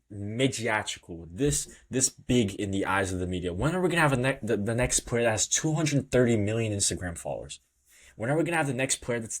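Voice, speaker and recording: A man's voice: fairly low pitch, breathy edge. A man from Brazil co-hosting a football podcast, speaking with passion and repeating points for emphasis. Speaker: podcast co-host. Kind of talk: casual football discussion.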